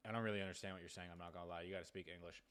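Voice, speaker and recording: A man's voice: deep voice, fairly even intonation, racing, fairly bright tone, smooth. A young American man hosting a livestream, chatting casually with streamer slang and some swearing. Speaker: livestream host and prank caller. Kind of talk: livestream chat.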